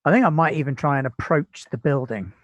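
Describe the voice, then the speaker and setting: A man playing in a tabletop game, talking through his character's moves in a relaxed, dry way. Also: a little high, a little fast, dry recording, dull audio. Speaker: player.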